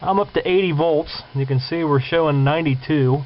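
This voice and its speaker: A man's voice: fairly high pitch, a little fast. A man talking casually and unscripted to viewers in a plain-spoken, folksy way, as a hobbyist showing his repair work. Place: indoors at a home workbench. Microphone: handheld camera's built-in mic. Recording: muffled lo-fi camcorder sound, clear hum.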